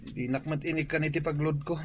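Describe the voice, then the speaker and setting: A man's voice: on the high side, level tone, fairly quick, lightly acted. A man playing a weak, hungry, sickly son in a radio drama. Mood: weak, subdued. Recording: faint background ambience noise.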